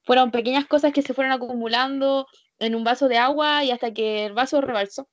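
A woman's voice: high-pitched, fairly even intonation, brisk, no room sound, lo-fi sound. A woman being interviewed as a union leader, speaking informally in a candid, earnest, colloquial way. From Spain, living in Chile.

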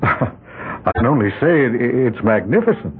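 An actor playing a polite, hesitant lawyer with a husky voice who stammers a bit, in a 1970s radio drama. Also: male voice, mid-range voice, very animated, a little slow, phone-quality sound, background noise. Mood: polite, puzzled. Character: polite, wary middle-aged male lawyer. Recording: band-limited old radio broadcast.

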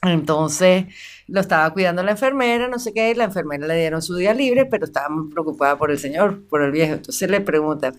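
A woman, casually telling anecdotes as an animated storyteller who acts out quotes. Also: on the low side, fast talker.

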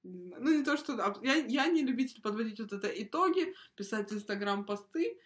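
A young woman from Ukraine co-hosting a podcast, talking in a relaxed, rambling way and laughing a lot. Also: a little high, fairly quick. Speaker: podcast co-host. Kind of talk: casual chat.

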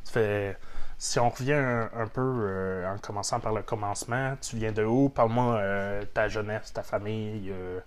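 A man's voice: lowish voice, fairly even intonation, normal speed. A man with a Québécois accent hosting a podcast, talking casually with many hesitations and some teasing jokes. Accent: Québécois French. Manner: hesitant, full of fillers, joking. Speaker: podcast host. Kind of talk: casual interview chat.

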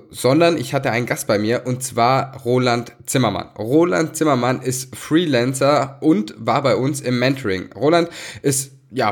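Fields: Man. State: slightly nasal from a cold. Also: medium pitch. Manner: chatty, apologetic, addresses listener directly. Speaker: podcast host. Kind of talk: solo podcast intro.

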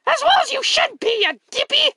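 A woman's voice: extremely high pitch, expressive, fairly quick, pushed and bright, slight background noise, voice pushed up, exaggerated voice. A woman voicing a bossy girl who scolds and calls people names in a homemade cartoon. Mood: scolding. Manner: scolding, name-calling. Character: bossy, insulting bratty girl.

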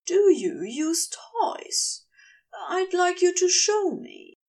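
A woman voicing a commanding, lustful male houseguest in an audiobook story, teasing and coolly controlling, with deliberate delivery. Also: very high-pitched, very animated, darkish tone, distant mic, pitched above the performer's own voice, heavily acted. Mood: commanding, coaxing.

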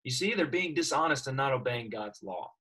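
A man's voice: mid-range voice, a little fast, breathy edge. A man teaching a classroom Bible lesson, asking his students questions as he explains. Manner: animated, asks students questions.

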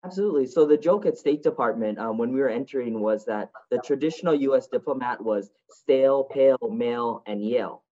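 A man's voice: mid-range voice, fairly quick, few pauses, mellow. A man from Hawaii, a state representative and former diplomat, answering interview questions in an earnest, upbeat way. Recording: dull lo-fi audio.

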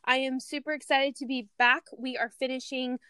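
A woman hosts a casual podcast interview, gushing and bubbly as she welcomes her guest. Her voice sits slightly high, smooth and clear, recorded dry and close with no room around it. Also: flat delivery, moderate pace, no room sound. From Louisiana. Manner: bubbly, gushing, warm enthusiasm. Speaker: podcast host.

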